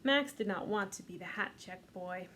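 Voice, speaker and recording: A woman librarian leading a children's storytime, reading aloud gently and unhurriedly with a few fillers. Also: medium pitch, moderate pace, slight background noise.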